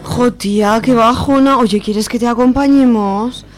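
A woman's voice: on the high side, steady tone, a little slow, natural voice. A woman with an accent from Spain playing a chummy, slangy, sympathetic young friend in an amateur radio soap. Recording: faint music behind.

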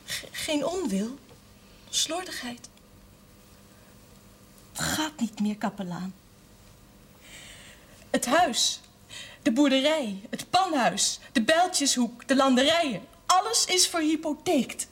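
A woman's voice: high-pitched, lively intonation, slow pace, pauses often. An actress playing an earnest young former novice burdened with her family's business, in a television period drama. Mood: despairing.

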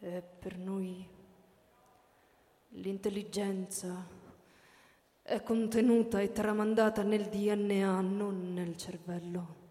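A woman acting in a radio drama as a serene immortal alien, reciting in a measured, solemn and deliberate way. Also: mid-range voice, steady tone, warm tone, lightly acted. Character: serene immortal alien speaking for a deathless race. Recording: echoey hall reverb with music behind.

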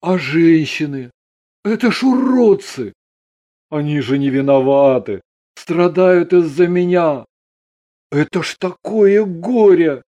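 A man voicing a gruff, wry but steady husband and father in a dramatised story. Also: fairly high pitch, takes it slowly. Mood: pleading.

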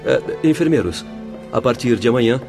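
An actor playing a composed, authoritative doctor in a spirit hospital in a radio drama. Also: male voice, medium pitch, lively intonation, normal speed, music in the background, natural voice. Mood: calm. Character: calm spirit doctor, man.

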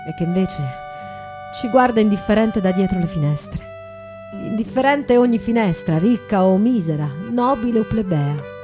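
An actress playing an embittered, elegant, proudly ironic bourgeois wife of the 19th century in a confessional stage monologue that shifts between hushed and declamatory. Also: female voice, on the high side, natural voice. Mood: indignant, lamenting. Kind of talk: theatrical monologue with live music. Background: guitar music.

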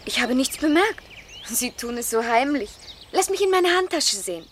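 A woman's voice: sits high, expressive, normal speed, a little hiss or hum. An actress playing an eager, playful young woman in love in a radio drama, with a lilting, girlish delivery.

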